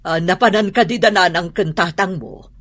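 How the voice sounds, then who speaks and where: A woman's voice: on the low side, normal speed, a bit bright. A woman with an Ilocano accent playing a worried, scolding middle-aged mother in a radio drama. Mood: probing, agitated.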